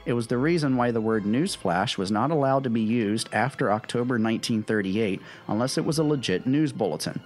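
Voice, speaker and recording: A man presents a radio history segment as scripted narration, at a middling pitch. He slips in informal asides, and faint music plays behind him. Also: expressive, fairly quick, few pauses.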